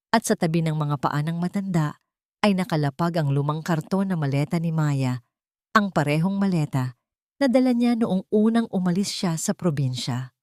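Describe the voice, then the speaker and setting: A woman tells a dramatic audio story in a low-pitched voice. The sound is dry, with hardly any room in it, and the delivery is that of scripted storytelling. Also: a little mellow, dry recording. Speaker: storyteller narrator.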